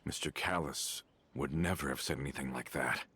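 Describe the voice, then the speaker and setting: A man voicing a grave, resigned gangster in a video game story, with a husky, gravelly voice. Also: rumbling low, moderate pace, bright, forceful tone, slight background noise. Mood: somber, resigned.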